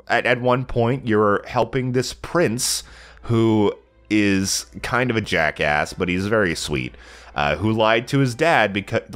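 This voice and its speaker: A young man with a stuffy, slightly nasal voice from a cold, casually rambling about a video game with plenty of fillers. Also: on the low side. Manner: rambling, lots of fillers and self-corrections. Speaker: let's play channel regular. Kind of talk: casual game review monologue.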